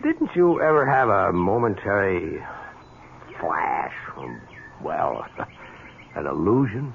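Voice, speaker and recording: An actor playing an anxious, haunted fiancé in a nervous, stammering old-time radio drama style. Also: male voice, low voice, very animated, slow, creaky, full character voice. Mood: uneasy, placating. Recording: dull, noisy vintage radio recording.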